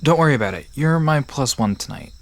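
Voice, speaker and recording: A man's voice: a little high, lively intonation, fast. An actor playing a friendly, knowledgeable young man who explains folklore in an easygoing, reassuring way in an audio drama. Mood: easygoing, explanatory. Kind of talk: conversational audio drama acting. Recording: music and crickets behind voice.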